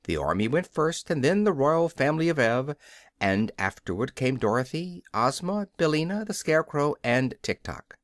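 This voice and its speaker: A man reading a children's storybook aloud as scripted narration, in an expressive storytelling manner. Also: a little high, moderate pace, no room sound, clean studio sound. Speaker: audiobook reader.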